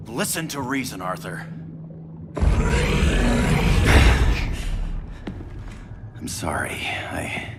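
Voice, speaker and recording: An actor playing an earnest, persistent space-ranger superhero with a wry streak in a video game. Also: male voice, lowish voice, very animated, normal speed, very noisy. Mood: urgent. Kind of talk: cinematic video game acting. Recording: loud score behind. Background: loud music.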